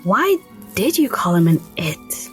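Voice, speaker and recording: A woman's voice: lowish voice, very animated, measured pace, soft background music. An actress playing a blunt, teasing young village woman in an audio drama.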